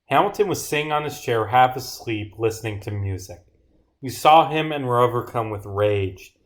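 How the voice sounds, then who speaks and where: Male streamer and indie developer, medium pitch, theatrical script read-through mixed with muttered asides. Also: expressive, moderate pace. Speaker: streamer and visual novel developer. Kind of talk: livestream of reading own game script aloud with commentary.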